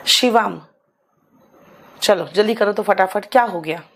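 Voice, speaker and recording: Hoarse woman teacher, live online class, motherly, keeps clearing her throat. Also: mid-range voice, a little slow. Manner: motherly, calls students "beta", coaxing them to hurry. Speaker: English teacher.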